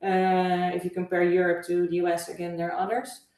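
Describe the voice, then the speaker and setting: A woman from the Netherlands speaks English with a Dutch accent, calm and measured, pausing on small fillers as she explains. Her voice sits a little low. The recording sounds distant and roomy, with strong echo. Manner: measured, thoughtful, with frequent uh fillers. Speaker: guest, travel agency owner. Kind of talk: interview answers. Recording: echoey room, far from mic.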